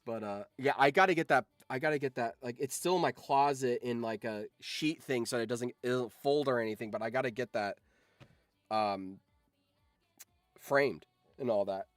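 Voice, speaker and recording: A man's voice: medium pitch, moderate pace, dry recording, soft background music. A man chatting casually on a livestream, telling anecdotes in an informal, sweary, enthusiastic fan's way. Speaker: streamer and voice actor.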